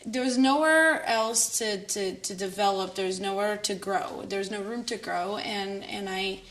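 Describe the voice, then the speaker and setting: A woman from Israel speaks in a documentary interview at a middle pitch. She is reflective and often doubles back on her words as she thinks. Her tone stays even and plain. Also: measured pace.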